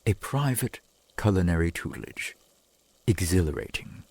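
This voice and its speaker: A man playing a centuries-old vampire boyfriend in an ASMR roleplay, doting and earnest, with halting, old-fashioned formal speech. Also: deep voice, sing-song, vocal fry, darkish tone, breathy edge, dry recording, heavily acted. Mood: eager, earnest. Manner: formal archaic wording, halting, eager to please.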